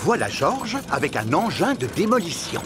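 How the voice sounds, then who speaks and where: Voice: mid-range voice, very animated, normal speed, heavy background noise, natural voice. A performer narrating a French preschool cartoon, announcing characters and events as a storyteller. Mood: presenting.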